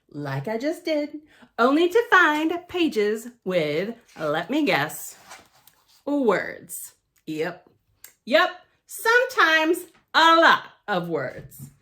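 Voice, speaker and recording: A woman reading a picture book aloud to children, theatrically emphatic and playfully grumpy, with chatty deadpan asides. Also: sits high. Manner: theatrical, emphatic, with pauses and repeated words for effect. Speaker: library storytime reader.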